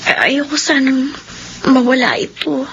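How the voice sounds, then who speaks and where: A woman acting a sentimental young woman who misses her family, in soft, wistful Filipino radio drama dialogue. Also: sits high, fairly even intonation, unhurried. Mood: wistful, sad. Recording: dull lo-fi audio, faint ambient noise.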